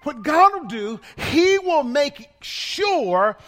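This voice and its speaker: A man's voice: very high voice, sing-song, drawn-out words, a bit bright, big-room sound. A male pastor preaching a sermon in a playful way, with lots of repetition and call-and-response to the congregation.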